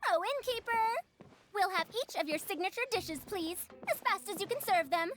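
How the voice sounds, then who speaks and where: This grand old scholar sounds surprisingly youthful and high. She is self-important and brisk, with swooping intonation in video game dialogue, music playing behind her. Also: expressive, fairly bright tone. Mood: bossy, eager. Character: proud hundred-year-old female scholar, youthful-sounding, bossy, grand. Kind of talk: video game character acting.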